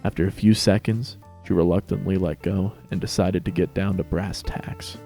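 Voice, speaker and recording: A man's voice: low-pitched, fairly even intonation, moderate pace, creaky, warm tone, faint music behind. A man narrating a scripted story as a dramatic reading.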